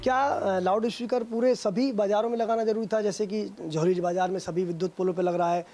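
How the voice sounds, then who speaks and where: Male reporter, high pitch, dark soft timbre, field interview questioning. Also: fairly even intonation, brisk, faint music behind.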